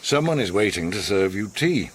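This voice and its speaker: Casting: eccentric, manipulative older gentleman, radio play; low, husky, swooping delivery, formal and commanding. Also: big pitch swings, a little hiss or hum. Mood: measured, knowing. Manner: measured, formal, commanding, theatrically teasing. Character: manipulative, eccentric, authoritative older male host, a self-styled psychiatrist. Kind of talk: radio drama acting.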